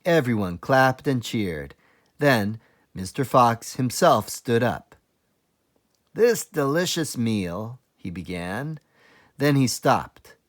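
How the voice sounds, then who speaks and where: A man reads a children's story aloud as a teacher librarian would. He takes his time, placing phrases deliberately, and his medium-pitched voice moves expressively up and down. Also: animated, fairly bright tone. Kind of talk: children's read-aloud narration.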